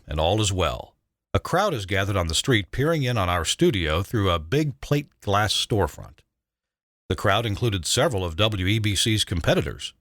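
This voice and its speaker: An older man, a retired broadcast engineer, narrating a scripted memoir in a measured, dramatic way with emphatic stresses. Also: on the low side, fairly bright tone, no room sound, clean studio sound.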